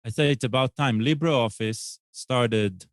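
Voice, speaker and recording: A man's voice: medium pitch, unhurried, no echo, studio quality. A man on a talk panel, chipping in with casual remarks and asides.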